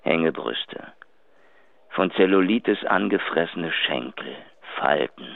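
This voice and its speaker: Gravelly, low, cruelly mocking man. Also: expressive, slow pace, dry recording, exaggerated voice. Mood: sneering, cruel. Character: cruel, contemptuous adulterous man taunting his partner. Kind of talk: German radio drama acting. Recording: tinny narrow phone-like sound.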